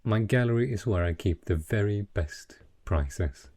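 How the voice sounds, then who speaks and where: Fantasy audio roleplay: male actor as a wealthy dragon in human guise, possessive and courtly, low-pitched, dark mellow tone, eloquent. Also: animated, unhurried, a little hiss or hum. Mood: proud, covetous. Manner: refined, eloquent, effusive. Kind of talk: romantic fantasy audio roleplay addressed to the listener.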